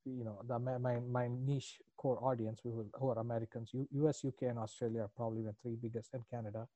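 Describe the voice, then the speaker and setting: A man's voice: mid-range voice, little pitch movement, quick pace, dark tone, no room sound. A middle-aged man with a foreign accent in English, a business coach answering podcast interview questions in an earnest, reflective, rambling way.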